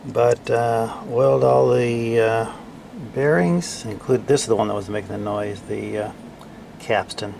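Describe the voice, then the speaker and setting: A man giving casual hands-on repair commentary, haltingly thinking aloud as he works. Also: medium pitch, fairly even intonation, gravelly. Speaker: repairer demonstrating his work. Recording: faint background noise.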